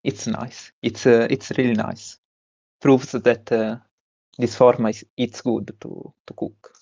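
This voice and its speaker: A young man with an Italian accent, a tournament winner giving a halting interview with many fillers and restarts. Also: medium pitch, level tone, gravelly, warm tone, dry recording, lo-fi sound. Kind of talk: post-win stream interview.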